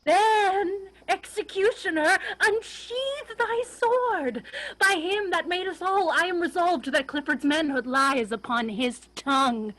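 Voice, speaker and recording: A woman acts a crookbacked young nobleman bent on revenge in a Shakespearean play. The pitch is high and the pace drawn out. Every phrase of verse is seething and emphatic. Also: slow pace, a bit bright, full character voice, rough recording. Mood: anguished fury. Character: Richard, venomous vengeful young crookbacked nobleman. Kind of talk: Shakespearean staged reading. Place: remote reading over a video call.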